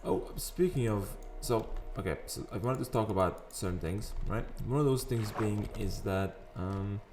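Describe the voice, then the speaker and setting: Man with a raspy morning voice. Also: fairly low pitch, lively intonation, normal speed. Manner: chatty, rambling, wanders into sidetracks. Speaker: gaming video host. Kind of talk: casual gameplay commentary. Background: faint background music.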